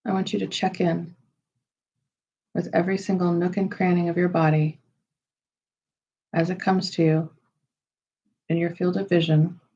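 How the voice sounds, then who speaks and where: Woman meditation guide, lowish and mellow, unhurried phrasing, slightly muffled recording. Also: flat delivery, breathy edge. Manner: unhurried, measured phrasing with pauses. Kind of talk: slow, soothing guided meditation.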